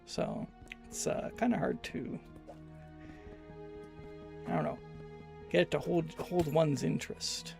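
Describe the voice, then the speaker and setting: Gravelly Canadian man streaming a game. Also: on the high side, takes it slowly, long pauses, music in the background. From Alberta, Canada. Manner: loose, rambling and self-deprecating. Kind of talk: live gameplay commentary while reading chat.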